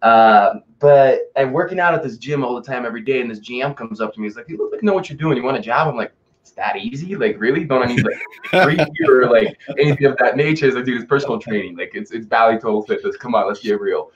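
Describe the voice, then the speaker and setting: A man's voice: mid-range voice, quick pace, highs cut off. A man from Russia talking casually and emphatically as a coaching guest on an interview show, telling personal stories and often checking in with informal asides. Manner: informal, emphatic, with rhetorical check-ins and buddy slang. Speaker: podcast guest, fitness and life coach.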